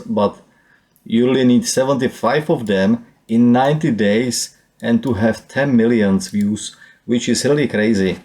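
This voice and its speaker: A man with a Czech accent walking through his channel's stats, speaking non-native English haltingly with many fillers. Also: fairly low pitch, warm tone. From Czech Republic. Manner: halting, with frequent "uh" and "let me say". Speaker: YouTuber. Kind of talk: screen-share channel walkthrough.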